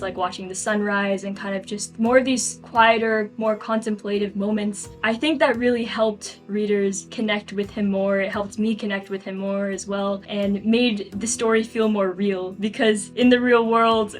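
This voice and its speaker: A woman being interviewed as an author, telling personal stories in a reflective, earnest, conversational way. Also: medium pitch. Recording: background music under the voice.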